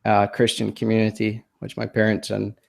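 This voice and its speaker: Gravelly Scotsman with a softened accent. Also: fairly low pitch, monotone, moderate pace. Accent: Scottish, softened by years in the US. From Scotland. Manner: self-deprecating, hesitant, many ums and restarts, laughs often. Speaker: podcast guest, designer. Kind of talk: casual interview chat.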